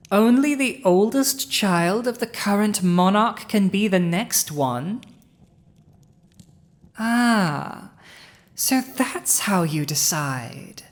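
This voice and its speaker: A woman's voice: mid-range voice, lively intonation. A woman playing a proud villainous dragon who has seized a human throne, speaking formal, archaic lines in a scripted audio roleplay. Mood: condescending, amused. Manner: formal archaic diction, haughty, deliberate.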